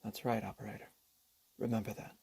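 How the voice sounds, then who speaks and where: Husky, despairing husband, played by a man. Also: lowish voice, level tone, brisk, dark tone, full character voice. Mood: grave, firm. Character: despairing, quietly resolute husband and father, financially ruined. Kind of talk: old-time radio drama acting.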